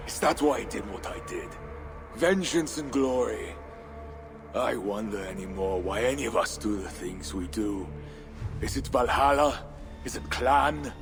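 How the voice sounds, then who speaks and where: An actor playing a bitter, brooding Viking war leader in a video game, speaking gravely and deliberately. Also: male voice, lowish voice, lively intonation. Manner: grave, deliberate, questioning oratory. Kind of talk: dramatic video game cutscene acting. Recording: music under the voice.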